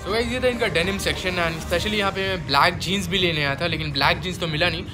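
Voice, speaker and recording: Casting: young male vlogger, Indian accent, fairly high-pitched, casual bilingual shopping narration over clear background music. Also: quick pace. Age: young adult. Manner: mixes English words and phrases into his speech.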